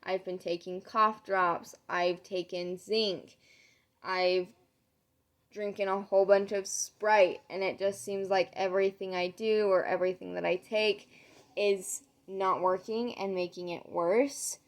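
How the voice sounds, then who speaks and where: A young woman vlogger with a hoarse, nearly lost voice, casually telling a long story to camera with lots of "um"s. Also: mid-range voice, fairly even intonation, a little slow. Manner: rambling, many "um"s, retells conversations. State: losing her voice, sore throat.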